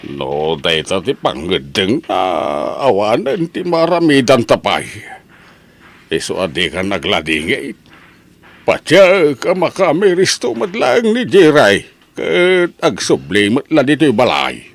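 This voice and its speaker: Man acting slow, resigned older father. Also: medium pitch, sing-song, gravelly, heavily acted. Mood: resigned, consoling. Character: resigned, slow-spoken older father consoling his wife. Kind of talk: Ilocano radio-style drama acting.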